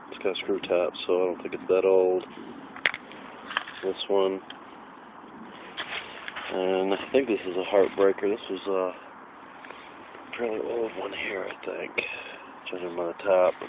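Man, chatty and excitable, low voice, tinny lo-fi camera audio, outdoor hiss. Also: measured pace, pauses often. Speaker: metal detecting vlogger. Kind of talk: casual outdoor vlog narration. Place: wooded area outdoors. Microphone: handheld camera.

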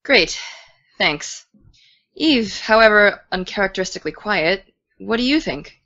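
A worried teenage girl acted by a woman talks in an audio drama with a medium-pitched voice. She is cautious and caring, slightly fretful in a natural conversational way. The recording is close and dry. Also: lively intonation, measured pace, bright, forceful tone, no room sound, dull audio.

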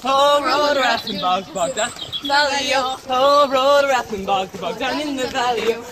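A man leading a cumulative scout song, chanting loudly in a sing-song way. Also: very high-pitched, a little fast. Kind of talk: group campfire song, call and response. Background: crowd of young people singing along.

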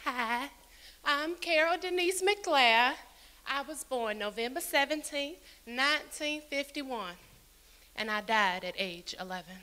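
A woman's voice: sits high, slow pace, sharp tone, heavily acted. A woman playing a sweet little girl, recounting cheerfully into a handheld mic. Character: youngest girl victim, lively and sweet. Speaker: actor in a church skit.

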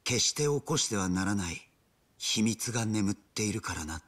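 A man's voice: on the low side, expressive, normal speed, a bit bright, no room sound. A man playing an earnest, deferential young gangster underling in a video game drama. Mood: grave.

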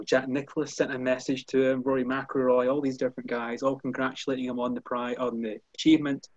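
A man's voice: mid-range voice, no room sound, dull audio. Scottish man on a dry video call. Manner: fluent, measured, many "you know" fillers. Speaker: golf journalist. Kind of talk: casual video-call chat. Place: indoor room with curtains behind. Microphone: headphones on a video call.

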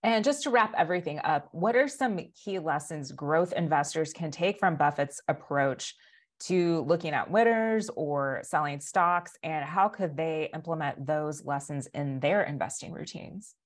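A woman in her thirties with an American accent and a notably low voice. She hosts a business news interview, putting questions in a composed, professional and articulate style. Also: measured pace. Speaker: interviewer, host.

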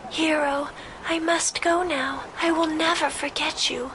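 A woman playing a solemn, mysterious young otherworldly woman in an anime game, formal, measured and earnest. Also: high-pitched, steady tone, background noise. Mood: solemn farewell. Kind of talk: anime game cutscene acting.

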